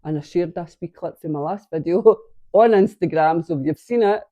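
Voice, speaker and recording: A woman's voice: fairly low pitch, normal speed, dark tone, no echo, dull audio. Chatty woman with a stuffy nose. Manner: chatty, rambling, self-interrupting. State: congested from sinus trouble. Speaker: knitting vlogger. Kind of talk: casual chat to camera. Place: at home. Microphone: camera on a tripod.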